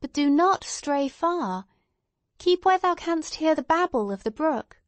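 A woman's voice: high voice, animated, a little slow, dry recording, voice pushed up. A woman voicing a sombre, firm mother in a storybook, speaking in an earnest, admonishing way with archaic phrasing. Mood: impatient, firm.

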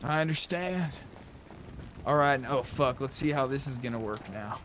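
A man's voice: mid-range voice, expressive, normal speed, a bit bright. A man chatting casually in a roleplay game, with folksy phrasing and some mild swearing. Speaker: player in a roleplay game session. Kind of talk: casual in-character gaming chat. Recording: clear vehicle and game noise behind. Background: game ambience and car engine.

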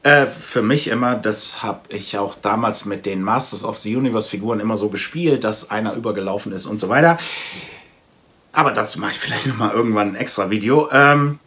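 A man's voice: on the low side, brisk, fairly bright tone. A man, talking casually and conversationally to camera as a video host, explaining as he goes. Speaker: comic blog video host. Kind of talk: casual haul vlog talk to camera. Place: small indoor room with shelves of comics.

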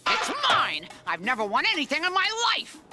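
A woman playing an excitable, prim female park ranger in a cartoon, with a theatrical, gushing delivery. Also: high-pitched, a little fast. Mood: indignant. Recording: loud music behind the voice.

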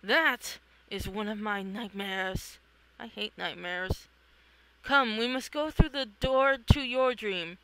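A performer voicing a calm, playful woman who wanders dreams in a comic read-along. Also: mid-range voice, slow pace, smooth, low-quality recording. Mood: playful, urgent.

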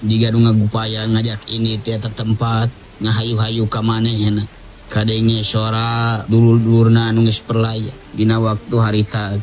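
A man's voice: lowish voice, a little hiss or hum. A man with a Sundanese accent narrating a folktale in a dramatic oral storytelling style. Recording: music under the voice.

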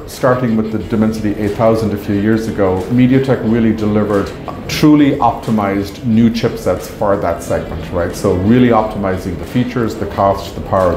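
Male executive, fifties, conversational explainer on a lapel mic in an echoey room with music underneath. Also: on the low side. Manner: explanatory, often checks with "right?". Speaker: company executive being interviewed. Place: indoor meeting room at trade show. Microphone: clip-on lapel mic. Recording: roomy echo, music underneath.

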